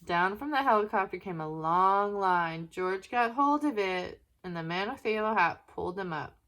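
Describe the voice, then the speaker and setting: A woman narrating a children's picture book in an expressive way, with question-asking asides to young listeners. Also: mid-range voice, normal speed. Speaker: children's story reader.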